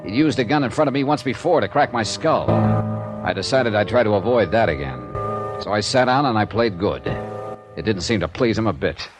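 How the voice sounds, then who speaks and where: An actor playing a tough, world-weary American insurance investigator in a 1950s radio detective drama, with a dry, clipped delivery. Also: male voice, fairly low pitch, animated, moderate pace, music in the background, natural voice. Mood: weary narrating. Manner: hard-boiled, dry, clipped. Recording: vintage radio drama recording.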